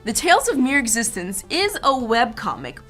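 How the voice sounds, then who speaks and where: A woman's voice: on the high side, sing-song, normal speed, bright, forceful tone, soft background music. A woman hosting an online video show, presenting scripted commentary with wry, playful asides. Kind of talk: scripted, jokey presenting about animation videos.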